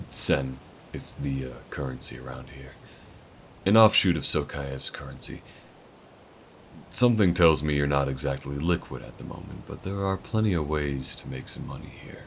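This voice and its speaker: A man's voice: extremely low pitch, gravelly, a little hiss or hum, exaggerated voice. A man voicing a friendly, easygoing male alien who shows the listener around town in an audio roleplay, talking in a folksy, laid-back drawl.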